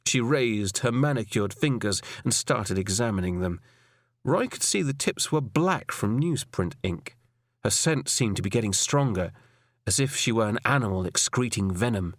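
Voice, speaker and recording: A man's voice: on the low side, lively intonation, normal speed, no echo, studio quality. A man with a British accent reading a crime novel as an audiobook narrator in a scripted storytelling style.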